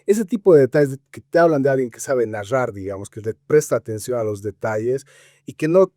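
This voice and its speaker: A man with a Bolivian Spanish accent talking film criticism conversationally as a podcast co-host, haltingly searching for words with frequent filler tags. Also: medium pitch, animated, dark tone, no echo, studio quality.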